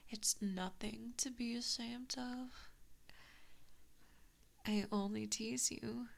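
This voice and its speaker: A woman voicing an evil, possessive giant fox spirit in a slow ASMR roleplay, whispering softly and breathily with mockingly sweet menace, close to a binaural mic. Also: on the high side, fairly even intonation, vocal fry. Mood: coaxing, smug. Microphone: close binaural microphone.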